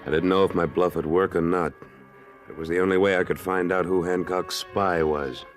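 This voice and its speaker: A man's voice: sits low, fairly even intonation, moderate pace, music in the background, close to an everyday voice. An American man acting a tough, terse trail boss in an old-time radio western. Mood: narrating, steady. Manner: terse, blunt, authoritative. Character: tough, commanding cowboy trail boss of a cattle drive. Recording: noisy vintage radio recording.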